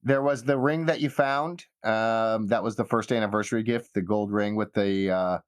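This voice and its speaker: A man narrating a tabletop game as game master, with halting, trailing phrases. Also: on the low side, steady tone, clean tone, no room sound, studio quality. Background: soft guitar music.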